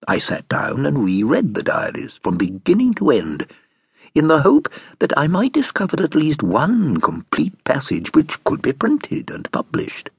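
An actor playing an urbane, amused nephew telling of his uncle, in theatrical British audiobook narration with deliberate, relishing phrasing. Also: medium pitch, gravelly, slightly rough, no room sound, clean studio sound, full character voice. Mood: confiding.

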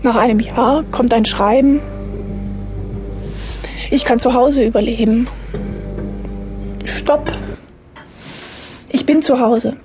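A woman in her thirties with a fairly high voice narrates her own story. She speaks in short, deliberate phrases and keeps it matter-of-fact. Music plays clearly behind her. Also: fairly even intonation, darkish tone. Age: 30s. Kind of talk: scripted autobiographical audiobook narration.

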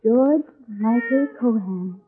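An actress playing a gentle, weary Irish mother in a breathy, frail voice, in 1940s radio drama style. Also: on the high side, slow, noisy background. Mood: tender, weary. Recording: muffled old radio broadcast.

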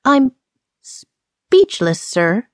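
A woman's voice: on the high side, sing-song, extremely slow, dry recording, studio quality, voice pushed up, heavily acted. A woman voicing a prim, easily scandalized Old West temperance lady with proper, formal speech, in an audiobook. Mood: flustered.

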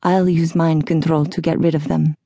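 Storybook lead: cool-headed, understated vampire man, a woman reading, low and muttering. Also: little pitch movement, moderate pace, mellow, no echo, studio quality. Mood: calm, hushed. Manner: restrained, often muttering or whispering. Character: suave, composed male vampire with famous father. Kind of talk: audiobook character voice.

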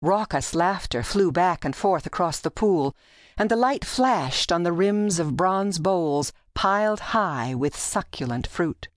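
A woman narrating a literary audiobook with measured, deliberate phrasing, recorded in a studio. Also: fairly low pitch, lively intonation, dry recording. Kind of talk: scripted literary storytelling narration.

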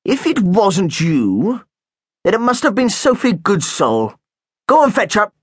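A man voicing an irritable male school headteacher who snaps and accuses, with a husky voice. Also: sits high, huge pitch swings, measured pace, dry recording, studio quality, pitched above the performer's own voice, heavily acted. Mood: stern. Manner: snapping, impatient, accusing.

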